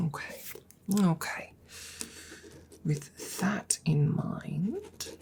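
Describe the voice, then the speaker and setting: A woman voicing an ancient, courtly and slightly awkward female dragon in a soft ASMR roleplay, breathy and often whispered, with hesitant, formal phrasing. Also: on the low side. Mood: musing, courteous. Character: courtly, awkward centuries-old female dragon in human guise.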